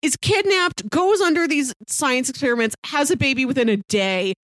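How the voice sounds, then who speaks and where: A woman's voice: high voice, normal speed, fairly bright tone, no room sound. A woman co-hosting a casual discussion of a TV series, recapping in an emphatic way and piling up phrases. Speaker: podcast co-host.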